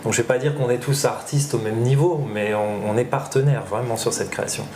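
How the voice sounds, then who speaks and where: A man answering interview questions as a theatre director, explaining things haltingly as he thinks aloud. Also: medium pitch, fairly even intonation, darkish tone. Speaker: theatre director and drama teacher being interviewed. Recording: roomy echo, faint background noise.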